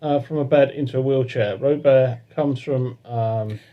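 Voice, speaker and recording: A man co-hosting a casual chat show, reading articles aloud with hesitant pauses. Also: mid-range voice, highs cut off. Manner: hesitant, fillers while reading. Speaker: podcast co-host.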